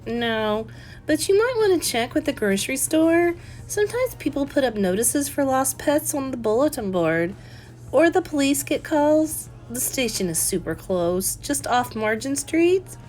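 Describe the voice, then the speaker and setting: A woman's voice: sits high, big pitch swings, unhurried, darkish tone, voice pushed up. A woman reader puts on a salesgirl in her early twenties from a cozy mystery. The voice is lightly raised and lilting. The manner is helpful and matter-of-fact.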